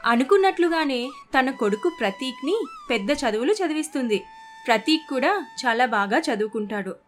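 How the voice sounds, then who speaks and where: A woman's voice: high-pitched, lively intonation, normal speed. A woman narrator telling a scripted moral family story. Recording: faint music bed behind the voice.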